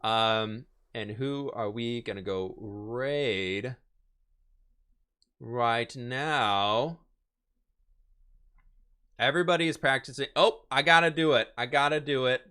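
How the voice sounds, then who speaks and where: A man streaming a building game, upbeat and chatty, his voice a little hoarse, answering questions from chat. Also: mid-range voice, slow pace, pauses often, sharp tone. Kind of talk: casual live-stream chat while building.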